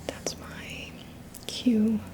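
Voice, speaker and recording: Female ASMR Reiki host. Soft unvoiced whisper, very unhurried, soothing, murmured repetitions. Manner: slow, soothing, repeats words and phrases in a trailing murmur. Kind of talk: whispered ASMR guided energy-healing session.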